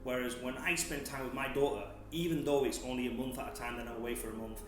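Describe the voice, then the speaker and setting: A man's voice: medium pitch, fast talker. A man in his thirties talks easily about himself as an interview guest. He circles back and repeats words while he thinks. The room is very echoey, with faint music playing behind. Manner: relaxed, restarts and repeats words. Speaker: gym owner, interview guest. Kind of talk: casual interview chat.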